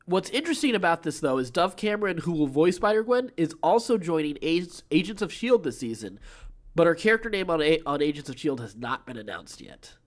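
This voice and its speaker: A man's voice: on the high side, sing-song, brisk. A man hosting a podcast, reading entertainment news with informal, enthusiastic, chatty asides. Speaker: podcast host.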